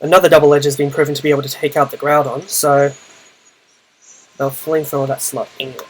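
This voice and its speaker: A young Australian man with a naturally loud voice, casually narrating his own Pokemon battle, thinking aloud with fillers. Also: fairly high pitch, flat delivery, fast, poor audio. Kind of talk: casual play-by-play gaming commentary.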